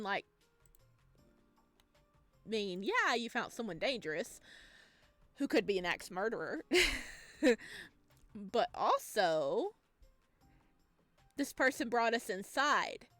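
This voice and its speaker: Girl gamer reading a visual novel out loud, chatty n expressive, slow pace, dry mic, soft music behind. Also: a little high, no echo. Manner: chatty, expressive asides between read lines. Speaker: video game streamer, let's player. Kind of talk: let's play, reading game text aloud with excited reactions. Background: game music.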